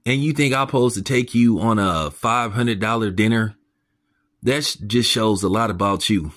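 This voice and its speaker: A man's voice: moderate pace, fairly bright tone. A man hosting a commentary channel, ranting in a blunt, mocking and crude way, his voice jumping up when he is incredulous. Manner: pitch jumps up when incredulous.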